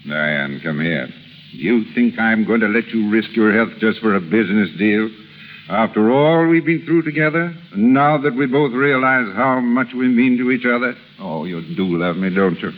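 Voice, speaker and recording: A man's voice: fairly low pitch, lively intonation, normal speed, natural voice. An actor playing an ardent, commanding businessman in his forties, in 1930s radio drama style. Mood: tender. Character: ardent, commanding forty-six-year-old wealthy businessman in love. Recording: tinny, hissy 1930s radio recording.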